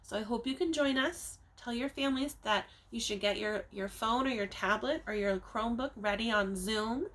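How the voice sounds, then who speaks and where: A woman teacher reading a picture book aloud to young children, expressively, with dramatic emphasis and drawn-out words, speaking to them directly. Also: on the high side, fairly bright tone. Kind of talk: animated children's storybook read-aloud.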